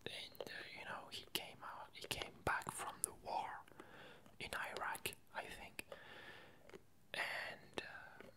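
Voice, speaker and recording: A woman whispering a casual ASMR chat in a breathy, halting way with long pauses. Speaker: ASMR video creator.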